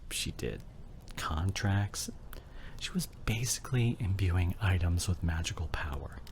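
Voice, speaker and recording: A man playing an injured, fading husband and father in an emotional ASMR audio drama, tender and intimate, with a breathy voice that often drops toward a whisper. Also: deep voice, a little mellow, a little hiss or hum. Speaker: audio roleplay voice actor.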